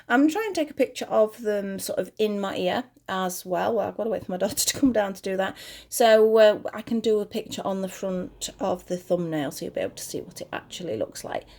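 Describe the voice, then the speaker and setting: A British woman hosting a crochet tutorial at home, chatty and rambling as she talks through each step, her voice a little croaky. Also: medium pitch, a little mellow. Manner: friendly, rambling, talks while working.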